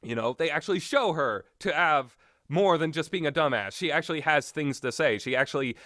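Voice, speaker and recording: A man giving rambling, opinionated game commentary in a blunt, exasperated, sweary and halting manner. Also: fairly high pitch, expressive, bright, forceful tone, dry recording, clean studio sound. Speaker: video game reviewer.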